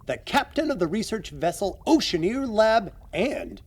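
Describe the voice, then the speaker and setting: A man's voice: high voice, big pitch swings, measured pace, heavily acted. A man acting as a confident, knowledgeable guide in an audio adventure drama, explaining things with emphatic storytelling. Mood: proud storytelling. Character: knowledgeable male guide and secret society insider, eager and confident.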